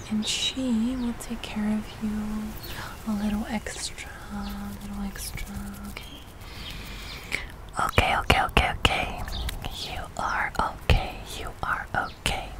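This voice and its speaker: A young woman voicing a calm, kindly witch in a soft-spoken ASMR roleplay, measured and reassuring. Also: mid-range voice, lightly acted. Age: young adult. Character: calm, kindly witch casting a sending spell. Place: outdoor garden.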